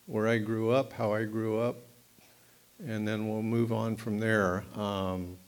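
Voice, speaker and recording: Middle-aged man, low voice, slow deliberate pace; nervous personal testimony with lots of "uh" and "um" and restarts. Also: little pitch movement. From United States. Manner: halting, many fillers and restarts. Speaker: recovery meeting speaker.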